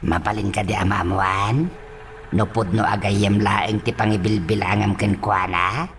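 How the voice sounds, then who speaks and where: An actor with an Ilocano accent playing a fretful, fumbling older village father in a radio drama, halting and stammering. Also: fairly low pitch, little pitch movement, a bit bright, a little hiss or hum. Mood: apologetic, pleading. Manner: halting, stammering, full of exclamations and a repeated catchphrase. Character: fretful, fumbling old village father with a repeated verbal tic.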